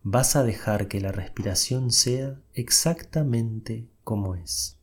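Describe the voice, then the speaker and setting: Meditation guide: a man, very slow and soft-spoken, with a breathy voice. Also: medium pitch. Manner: soft, unhurried instructions with long pauses.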